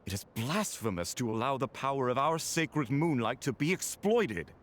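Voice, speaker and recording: An actor playing a stern, devout man who speaks formally and gravely in a video game. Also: mid-range voice, lively intonation, normal speed, a little hiss or hum, lightly acted. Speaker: solemn moon-faith guardian.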